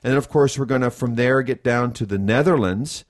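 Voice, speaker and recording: A man's voice: medium pitch, brisk. A man co-hosting a casual chat, enthusiastic and rambling as he thinks aloud about plans. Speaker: co-host of a nutrition school podcast.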